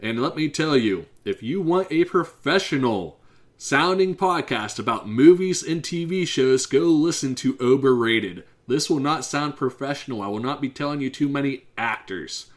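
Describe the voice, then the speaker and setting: Hoarse Pittsburgh man rambling on a podcast. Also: mid-range voice, lively intonation. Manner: rambling and unscripted, drifts into fast talk. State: hoarse from a bad cough. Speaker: podcast host. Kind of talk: casual solo podcast monologue.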